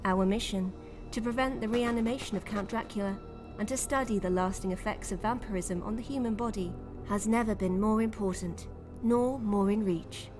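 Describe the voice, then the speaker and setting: A woman playing a cold corporate spokesperson reading a formal, measured official announcement in an audio drama. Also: medium pitch, over music, lightly acted. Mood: coolly official.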